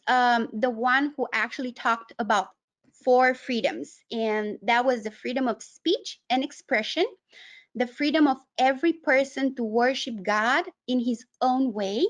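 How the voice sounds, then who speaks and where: A woman with a Romanian accent presenting an educational webinar, explaining things in a halting way with frequent pauses mid-sentence. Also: a little high. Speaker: webinar presenter, human rights advocate.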